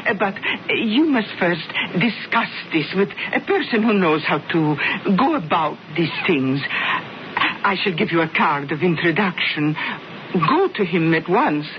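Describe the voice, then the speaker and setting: A woman's voice: fairly low pitch, expressive, a little slow, a little hiss or hum. An actress playing a haughty, scheming noblewoman in a 1970s radio drama, speaking in an affected, condescending way. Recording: dull old radio recording.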